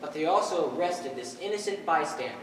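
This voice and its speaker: A teenage boy acts a cheeky, punning male comedian in a school stage play. His animated lines carry from far off in a reverberant, slightly noisy hall. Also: on the high side, a little fast, lightly acted. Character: cheeky male straight-talking partner in comedy duo. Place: stage in a large hall, recorded from afar. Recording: echoey hall, distant microphone, background noise.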